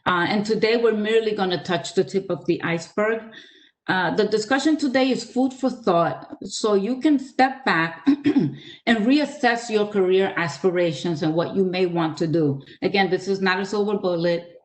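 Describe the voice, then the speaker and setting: Earnest older woman giving a webinar talk. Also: mid-range voice. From Dominican Republic, raised in New York City. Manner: measured, earnest, with frequent "uh" fillers. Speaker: guest speaker, author. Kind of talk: webinar presentation.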